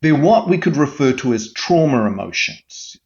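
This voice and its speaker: Earnest man coaching, lively pitch. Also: normal speed, lo-fi sound. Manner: earnest, coaching tone with frequent restarts. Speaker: mental health guest expert. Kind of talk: explanatory interview answers.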